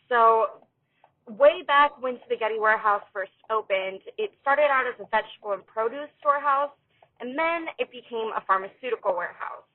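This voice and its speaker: An American woman casually telling a ghost story as a listener contribution, on a phone-like recording. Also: medium pitch. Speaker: listener storyteller, haunted tour guide.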